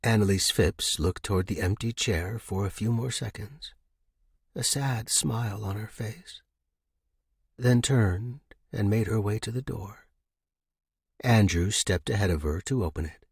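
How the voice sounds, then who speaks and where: Husky male story narrator, dry sound. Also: on the low side, a little slow, dry recording. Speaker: audiobook narrator.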